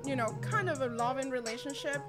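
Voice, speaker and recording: A woman's voice: sits high, a little fast, over loud music. A woman in her thirties with a Venezuelan Spanish accent, a native Spanish speaker, chatting as an interview guest in a friendly, hesitant way. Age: 30s. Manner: friendly, hesitant, restarts sentences. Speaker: professional dominatrix guest. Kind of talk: casual interview chat.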